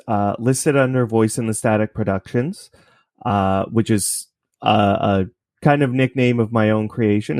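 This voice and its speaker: Guy being interviewed, lowish voice, dry close sound, casual and a bit halting. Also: darkish tone, no room sound. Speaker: interview guest, podcast creator.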